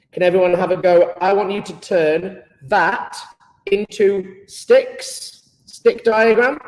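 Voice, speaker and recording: A man's voice: fairly high pitch, roomy sound, low-quality recording. A British man teaching a live online chemistry lesson, playful and enthusiastic, joking with his pupils.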